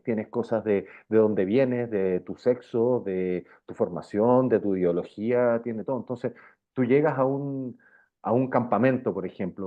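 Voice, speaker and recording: A Chilean man, middle-aged, speaking informally and with slang in a casual interview, often circling back over his phrases. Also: mid-range voice. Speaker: interviewed journalist. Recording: thin, phone-like band-limited sound.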